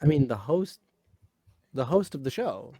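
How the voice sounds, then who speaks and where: A woman's voice: a bass voice, very animated, measured pace, a crowd in the background. A woman playing a tabletop game over a call, joking and bantering with the group. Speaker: player.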